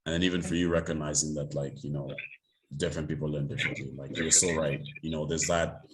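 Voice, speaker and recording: A young man hosts a podcast interview in an extremely deep, low voice. He talks loosely and casually, leaning on likes and you knows as he goes. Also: flat delivery, quick pace, background noise. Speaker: podcast host.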